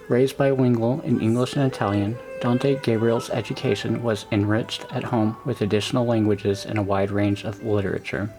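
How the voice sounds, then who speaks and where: A male narrator with a rather low voice and a noticeable gravelly grain reads a documentary script. His delivery is measured and even. Music plays clearly beneath him. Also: low-quality recording. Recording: voice-over with music behind.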